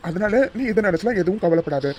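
An actor playing a young man who talks in a soft, soothing and reassuring way. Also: sits high, fast talker, slight background noise, close to an everyday voice. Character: young man, gentle and protective lover. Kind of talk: romantic drama acting.

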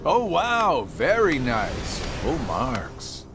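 An actor playing a smug middle-aged villain in a video game, with a mocking, sarcastic drawl. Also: male voice, medium pitch, wildly swooping pitch, extremely slow, background noise, exaggerated voice. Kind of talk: video game cutscene acting.

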